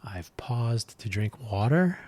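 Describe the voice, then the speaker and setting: Solo podcast host, man, middle-aged, deep and low-pitched, throat gone scratchy, rambling with fillers. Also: big pitch swings, slow pace, a little mellow, husky, no room sound. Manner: rambling, thoughtful, frequent fillers and restarts.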